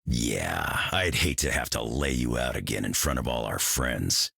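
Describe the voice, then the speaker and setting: A man voicing a tough, taunting clawed mutant fighter in a video game, with a gruff, growling delivery. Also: expressive, unhurried, bright, forceful tone, dry recording, clean studio sound, full character voice. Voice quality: very deep, gravelly growl. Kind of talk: video game character dialogue.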